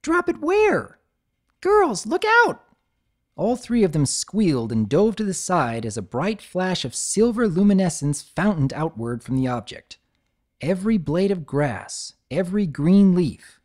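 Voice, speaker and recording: A woman narrating a story in an expressive dramatic reading. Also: sits low.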